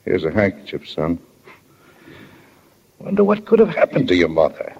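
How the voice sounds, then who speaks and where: A man's voice: sits low, wildly swooping pitch, moderate pace, breathy edge, heavily acted. A man playing a stern but kindly small-town father in a 1940s radio drama. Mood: gruffly fond. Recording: dull 1940 radio recording.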